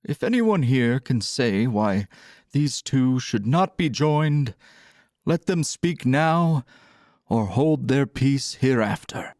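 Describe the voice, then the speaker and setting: Solemn, slow-intoning priest played by a man. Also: medium pitch, animated, a little mellow, dry recording, studio quality. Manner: formal, ceremonial. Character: solemn, stern priest officiating a wedding. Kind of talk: audio drama acting.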